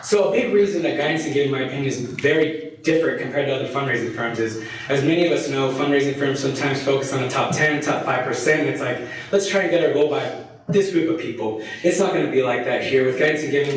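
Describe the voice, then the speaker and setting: A man's voice: medium pitch, fast talker, slight background noise. A young man from Colombia, a fundraising consultant, giving a friendly, joking presentation to a parish audience with many fillers. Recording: distant mic in a very echoey hall.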